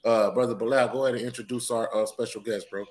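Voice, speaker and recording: A man's voice: medium pitch, fairly even intonation, fast, darkish tone. A man co-hosting a podcast, easygoing and slangy. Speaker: podcast co-host. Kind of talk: casual podcast chat.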